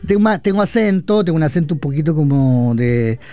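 A Chilean man and radio columnist talking in a casual chat, his speech rushed and halting with many restarts. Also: a little high, expressive, warm tone. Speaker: columnist guest. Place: radio studio.

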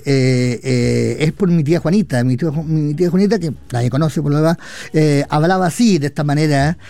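A Chilean man and radio columnist talking in a casual chat, his speech rushed and halting with many restarts. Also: on the high side, gravelly, warm tone, dry recording. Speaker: columnist guest. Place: radio studio.

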